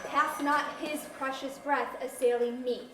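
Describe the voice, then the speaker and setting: Vengeful conquering sorceress for verse stage drama, female actor, high, slow, commanding delivery, distant roomy sound. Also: fairly even intonation, pushed and bright, slight background noise. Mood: cold, resolute. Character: imperious, vengeful conquering sorceress claiming the throne. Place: museum auditorium, staged reading. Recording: echoey auditorium, distant mic.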